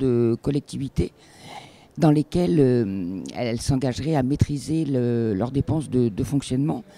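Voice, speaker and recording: A man interviewing someone, asking questions in a halting, hesitant way with repeated words. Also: mid-range voice, creaky, mellow.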